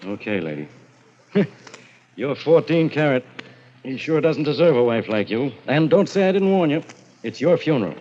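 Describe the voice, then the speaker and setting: An actor playing a wisecracking, skeptical police inspector in a 1940s radio drama, slangy and wry, on a muffled old recording. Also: male voice, medium pitch, sing-song, moderate pace, long pauses, warm tone, a little hiss or hum, natural voice. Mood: wry, uneasy.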